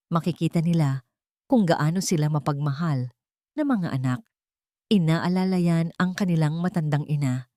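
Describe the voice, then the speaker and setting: A woman's voice: deep voice, darkish tone, no room sound, studio quality. A woman narrating a scripted story in a dramatic storytelling style.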